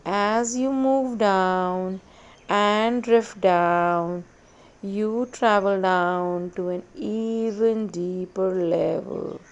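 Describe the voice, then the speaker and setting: Very slow female meditation guide. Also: mid-range voice, highs cut off. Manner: slow, measured, repetitive instructions with long pauses. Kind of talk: guided meditation, hypnotic induction.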